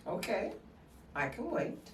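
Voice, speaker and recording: An actress playing a composed, dignified nursing sister who speaks in a measured, formal, pointed way in a scripted drama. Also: female voice, medium pitch, very animated. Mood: polite. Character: composed, dignified senior nurse, quietly defiant. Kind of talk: scripted stage-drama acting. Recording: distant mic in echoey room.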